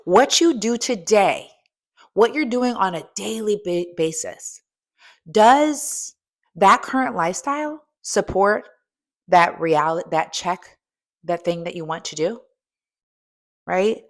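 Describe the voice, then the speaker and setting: A woman's voice: mid-range voice, animated, slow, pauses often. A woman in her fifties giving a motivational coaching talk, emphatic and asking the listener direct questions. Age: 50s. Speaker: strength coach and host.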